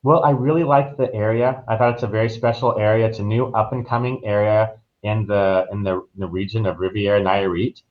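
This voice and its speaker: A man's voice: lowish voice, fairly even intonation, fairly quick, hardly any pauses, phone-quality sound. A man answering interview questions as a travel professional, explaining things in an informal, conversational way. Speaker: guest travel professional reviewing a resort.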